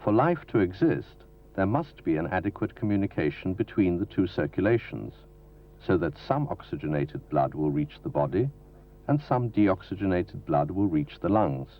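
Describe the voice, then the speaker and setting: A man giving a formal, measured, didactic scripted narration for a medical training film. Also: sits low, lively intonation. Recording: dull lo-fi 1970s film soundtrack from videotape.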